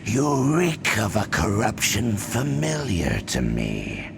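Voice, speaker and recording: An actor playing a sinister male herald of the abyss who taunts in cold, drawn-out, whispery phrases. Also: fairly low pitch, sing-song, creaky, noisy background, heavily acted. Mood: menacing.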